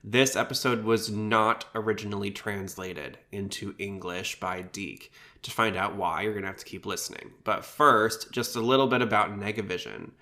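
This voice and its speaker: A man's voice: on the low side, normal speed, few pauses, sharp tone. A man hosting a podcast, talking informally and explaining things in a casual style. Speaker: podcast host.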